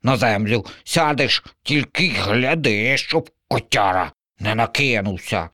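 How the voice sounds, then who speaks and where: A man's voice: lowish voice, animated, bright, forceful tone, dry recording, clean studio sound, voice pushed up. A man doing a dramatic, dreamy female crow. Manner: drawn-out, grimacing, huffy.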